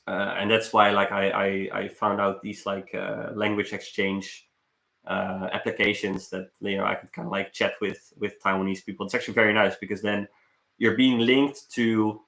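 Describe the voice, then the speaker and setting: The sound is roomy and echoey. A young man talks in a bright, forceful voice on the low side. He rambles casually like a streamer catching up with viewers, halting and repeating words as he goes. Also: flat delivery, creaky. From Netherlands. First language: Dutch. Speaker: live streamer, travel vlogger. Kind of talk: casual live stream chat. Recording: roomy echo.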